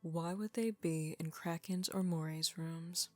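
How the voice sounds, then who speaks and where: Woman playing a low, deadpan, watchful tracker. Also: measured pace, dry recording, faint music behind, natural voice. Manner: deadpan, clipped. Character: terse, unamused, watchful tracker. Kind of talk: audio drama acting.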